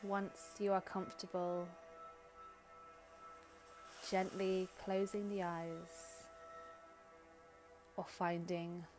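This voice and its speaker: Slow, low-pitched woman guiding a meditation. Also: flat delivery, poor audio. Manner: gentle, soothing, short unhurried phrases with long pauses. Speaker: meditation guide. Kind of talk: guided meditation. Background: background music.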